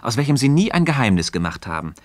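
An actor playing a learned, earnest and gently ironic Freemason friend who teaches in a measured, rhetorical way in a radio drama. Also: male voice, mid-range voice, sing-song, dry recording. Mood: persuasive. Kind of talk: scripted philosophical dialogue in German radio drama.

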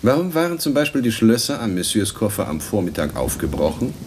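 A man's voice: fairly low pitch, sing-song, fairly quick, darkish tone, breathy edge. An actor playing a sinister, cynical man in a German radio play, probing and insinuating with mock politeness. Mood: probing, leering.

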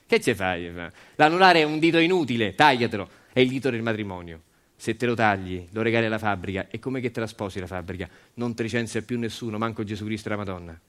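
A man's voice: lowish voice, quick pace, fairly bright tone, pitched below the performer's own voice, lightly acted. A man playing a blunt, cynical factory worker in a monologue, talking colloquially and insistently with a Roman accent. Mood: insistent, persuading. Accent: central Italian, Roman.

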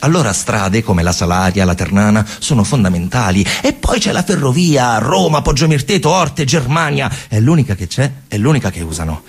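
A man's voice: medium pitch, sing-song, brisk, hardly any pauses, slightly rough. A man performing a theatrical narrative monologue, telling the story emphatically in short, colloquial, repeated phrases. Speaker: stage actor and narrator.

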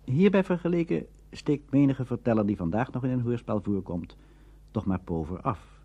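A man's voice: mid-range voice, animated, normal speed, mellow, husky, no room sound, muffled recording. A man narrating a radio documentary from a script, as its presenter.

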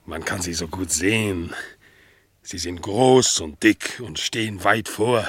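A man's voice: low voice, slow pace, fairly bright tone, breathy edge, no room sound, clean studio sound, full character voice. A man playing a sedate, naive male dog in a radio play, plain-spoken and ponderous. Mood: naive, self-satisfied. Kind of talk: German radio play acting.

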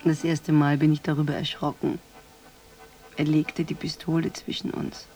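A woman acting in a German radio drama as a discreet, unsentimental call girl, speaking in a deadpan, breathy half-whisper. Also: deep voice, normal speed, faint music behind, natural voice. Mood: detached, confiding. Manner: deadpan, laconic.

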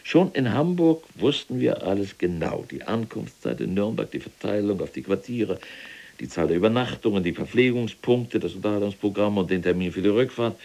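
A man's voice: fairly low pitch, lively intonation, a little fast, darkish tone. An actor playing a proud, unrepentant older SS general in prison, boastfully and precisely recounting his deeds in a radio play. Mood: smug delight. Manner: boastful, precise, military enumeration. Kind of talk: German radio play dialogue.

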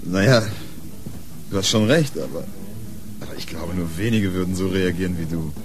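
An actor playing a cynical, worldly male police inspector in a radio crime drama, gruff and colloquial. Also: sits low, normal speed. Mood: wry.

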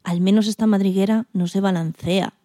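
A woman's voice: mid-range voice, a little fast, no room sound, clean studio sound, lightly acted. A woman playing an indignant, timid male guinea pig who tells his story expressively in a children's radio play. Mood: curious. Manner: expressive, exclamatory, plaintive.

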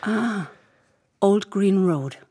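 A woman's voice: medium pitch, lively intonation, extremely slow, dark tone, slightly rough, exaggerated voice. An actress playing a bereaved young woman in an audio drama, wounded and guarded, hesitant yet stubbornly insistent. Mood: insistent, bitter. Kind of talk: German audio drama acting.

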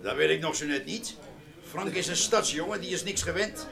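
An actor playing a simple, cheerful village gossip who talks in a halting, rustic, repetitive way in a radio play. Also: male voice, on the high side, creaky, crowd noise. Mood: gossipy. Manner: halting, repeats himself, rustic.

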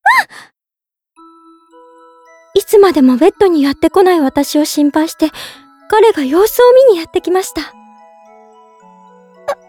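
Very high-pitched, gentle young woman in love. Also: sing-song, normal speed, pauses often, soft background music. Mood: flustered, hopeful. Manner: soft-spoken polite, earnest, sweet. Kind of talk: Japanese drama CD monologue, narrating in character.

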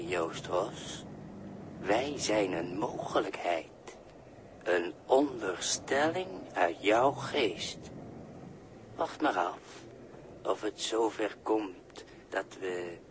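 An actor playing a sly, patient bystander who is mocking and quietly menacing, in deliberate, condescending 1960s radio drama style. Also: male voice, low voice, exaggerated voice. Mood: quiet menace. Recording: noisy early 1960s radio recording.

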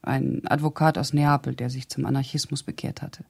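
An actress playing a blunt, sardonic older woman with a husky, gravelly voice, indignantly loyal to an old friend, recounting memories in a German radio drama. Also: a bass voice, little pitch movement, a little mellow, full character voice. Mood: sardonic, confiding. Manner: blunt, sardonic, deliberate storytelling.

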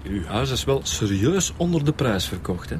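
A man's voice: mid-range voice, sing-song, normal speed, slight background noise, lightly acted. An actor playing an eager, sceptical Flemish police detective in a radio drama, probing as he talks.

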